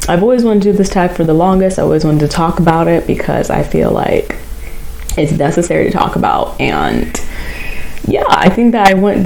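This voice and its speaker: Low-voiced young woman vlogging. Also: vocal fry, warm tone, slight background noise, rough recording. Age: young adult. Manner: chatty, rambling, giggly, many fillers. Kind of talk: casual vlog chat.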